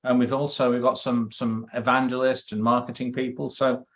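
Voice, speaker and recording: Man, interviewer, friendly, hesitant, fillers, light laughter, middle pitch, dull lo-fi video-call audio. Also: little pitch movement, moderate pace, a bit bright. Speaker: interviewer for a professional network. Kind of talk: interview conversation.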